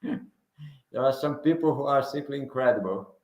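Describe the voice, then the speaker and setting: A male professor whose native language is Portuguese, answering slowly and briefly. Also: medium pitch, highs cut off. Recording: roomy sound.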